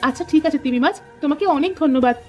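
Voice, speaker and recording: A tender, excitable farmer's wife from a village cartoon, voiced by a woman. She speaks high and fast in an expressive way, with faint music underneath. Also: dull audio, natural voice. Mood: wondering. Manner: expressive, exclaiming. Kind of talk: cartoon voice acting.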